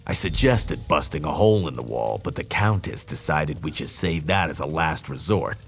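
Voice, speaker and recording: An actor voicing a gruff, loyal soldier with a dry humor in a video game, his voice gravelly and husky. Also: very deep voice, lively intonation, normal speed, exaggerated voice. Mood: matter-of-fact briefing. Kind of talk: video game character dialogue.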